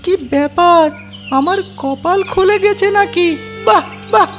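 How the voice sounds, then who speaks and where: A greedy cartoon fox villain, squeals in an extremely high voice. The talk is slow, blustering and scornful, full of sly menace. Loud music plays behind it. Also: full character voice. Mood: gloating. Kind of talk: children's cartoon story character acting.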